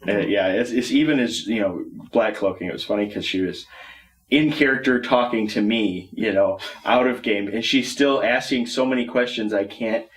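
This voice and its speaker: Man, low voice, casual rambling talk, slightly distant mic, faint noise. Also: moderate pace. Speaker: panelist on a hobby talk show. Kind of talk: casual chat.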